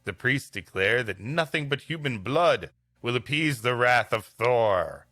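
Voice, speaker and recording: A man's voice: mid-range voice, animated, unhurried, bright, forceful tone, no room sound, faint music behind. A man voicing a zealous, resolute missionary saint from an old tale, solemn and declamatory. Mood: resolute, rousing.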